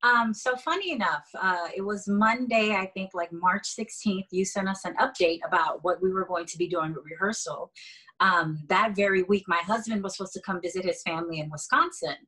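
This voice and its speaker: Bright, husky young woman on a video call. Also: fairly low pitch, moderate pace, poor audio. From Haiti. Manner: chatty, fillers, laughs often. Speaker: actor guest. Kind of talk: casual video-call interview. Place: bedroom indoors.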